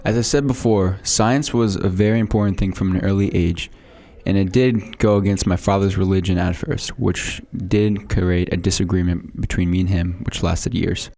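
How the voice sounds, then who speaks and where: A man's voice: sits low, steady tone, moderate pace, warm tone. A man playing a boastful male inventor of the 1800s, reading a prepared script aloud in a school podcast role-play. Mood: matter-of-fact.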